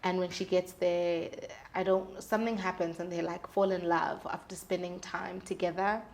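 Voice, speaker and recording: A woman's voice: on the low side, moderate pace, vocal fry, a little hiss or hum. Young woman telling her story. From South Africa. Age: young adult. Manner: candid, expressive, slangy. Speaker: guest, singer-songwriter. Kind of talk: casual interview storytelling.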